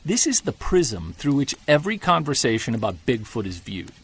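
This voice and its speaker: Man acting an emphatic, animated, indignant Bigfoot believer. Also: medium pitch, normal speed, slightly rough. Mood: indignant, challenging. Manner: emphatic, argumentative, sardonic, rhetorical. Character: Jared, earnest, indignant male Bigfoot believer recording field notes. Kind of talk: audio drama monologue, podcast-style rant.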